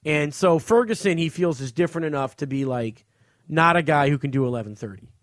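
Man, high-pitched voice, casual podcast host, opinionated, blunt, swears freely, dry close sound. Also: a little fast, no echo. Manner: blunt, profane. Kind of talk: casual opinionated entertainment chat.

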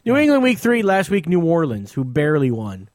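A man's voice: on the high side, wildly swooping pitch, brisk, a little mellow, no room sound, clean studio sound. A man hosting a podcast, talking sports casually in blunt, profane language. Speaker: podcast host.

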